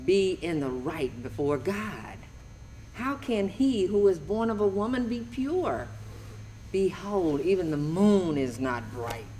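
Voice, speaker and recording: A woman's voice: sits low, a little mellow, slight background noise. A woman playing a stern, moralizing friend who preaches with scorn for mankind in an amateur Bible drama. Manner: deliberate, declamatory, preaching. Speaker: church class amateur actor.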